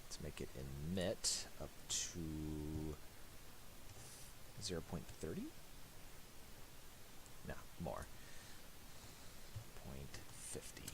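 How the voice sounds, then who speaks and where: A man giving a casual, unscripted software tutorial, thinking aloud hesitantly and a little self-deprecatingly. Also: extremely low pitch, very slow, long pauses. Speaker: tutorial presenter. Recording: hissy home recording with mouse clicks.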